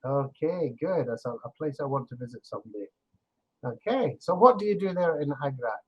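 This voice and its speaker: A man's voice: medium pitch, lively intonation, moderate pace, darkish tone, breathy edge, muffled recording. An older man with a Scottish accent, chatting as an online English tutor, explaining with examples and laughing easily. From Scotland. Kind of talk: casual conversation lesson.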